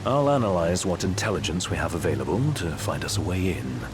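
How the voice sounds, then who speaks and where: Deep-voiced, precise strategist. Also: male voice, expressive. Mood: calm, calculating. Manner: formal, measured, articulate. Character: composed, precise young male royal strategist. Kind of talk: video game cutscene acting. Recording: music under the voice.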